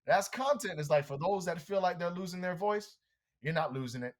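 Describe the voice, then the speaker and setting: A man who is a guest on a podcast, giving an energetic motivational talk full of storytelling and speaking straight to the listeners. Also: sits high, fairly quick. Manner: animated, energetic, addresses listeners directly.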